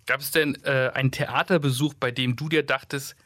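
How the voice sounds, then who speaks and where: A man in his thirties hosting a podcast and interviewing a guest in a clear, measured, conversational way. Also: medium pitch, fairly even intonation, sharp tone, no room sound, studio quality. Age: 30s. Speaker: podcast host.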